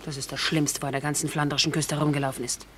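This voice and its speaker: An actress playing a scornful colonel's widow in a radio play, mocking and sharp-tongued. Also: female voice, deep voice, little pitch movement, fast, slight background noise, lightly acted. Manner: mocking, scathing. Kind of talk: German radio play acting.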